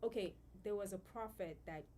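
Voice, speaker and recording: A woman's voice: medium pitch, moderate pace, a little hiss or hum. A middle-aged woman from Nigeria, a guest telling stories in a casual podcast conversation, with lots of fillers. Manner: warm storytelling with many fillers.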